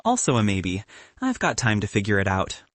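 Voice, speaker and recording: A man's voice: on the low side, huge pitch swings, moderate pace, a bit bright, no echo, voice pushed down. A man voicing a playful, quirky young woman physicist who cracks jokes. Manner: playful, joking.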